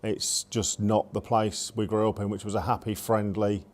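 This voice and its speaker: A man speaks bluntly in a low voice. His pitch barely moves, so his emphatic points come out flat and hard. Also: moderate pace. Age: 40s. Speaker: protest organiser.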